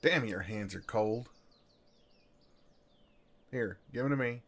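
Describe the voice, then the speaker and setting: A man with a Southern US accent playing a gentle, flirtatious farmhand in an intimate ASMR roleplay, with an easygoing, folksy, soothing drawl. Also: medium pitch, takes it slowly. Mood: tender, reassuring.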